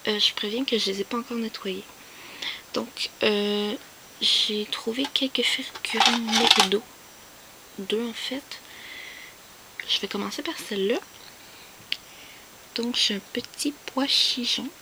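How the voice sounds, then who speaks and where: Whispering Québécoise woman, bright and hesitant. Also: on the high side, slow, long pauses, a little hiss or hum, rough recording. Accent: Québécois French. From Quebec. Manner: mostly whispering, hesitant with many fillers. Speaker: collector showing finds. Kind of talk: soft whispered show-and-tell.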